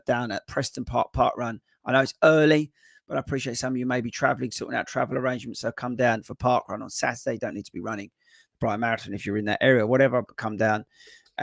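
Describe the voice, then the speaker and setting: Croaky running coach on a live stream. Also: male voice, medium pitch, a bit bright, dry recording, clean studio sound. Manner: chatty, rambling with many fillers. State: hoarse, voice just coming back. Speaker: running coach and podcast host. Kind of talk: casual live-stream chat. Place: home desk.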